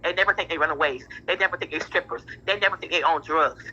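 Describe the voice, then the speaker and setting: A woman's voice: low-pitched, fast talker, bright, forceful tone, breathy edge. A middle-aged woman talking bluntly and emphatically in a heated casual phone conversation, often repeating herself and swearing. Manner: emphatic, repetitive, blunt with profanity. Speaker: parent in a phone discussion. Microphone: phone call. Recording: muffled telephone-like audio.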